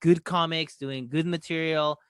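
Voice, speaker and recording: Hesitant man, slightly high voice. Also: fairly even intonation, clear, resonant tone, no echo, clean studio sound. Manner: hesitant, with "uh" and "um" pauses. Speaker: comedian guest. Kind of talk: casual podcast chat.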